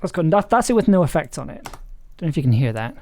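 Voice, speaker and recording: A person casually talking through audio editing work on a livestream, halting and trailing off while thinking aloud. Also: medium pitch, very animated, a little mellow. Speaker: audio drama maker streaming the editing process.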